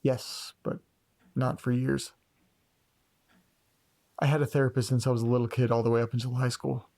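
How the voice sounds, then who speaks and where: A creaky, medium-pitched male voice from an actor plays an anxious man who doubts himself. He speaks hesitantly and earnestly, as naturalistic dialogue in a horror audio drama about eerie mysteries. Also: flat delivery, moderate pace, darkish tone, close to an everyday voice. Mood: subdued, vulnerable.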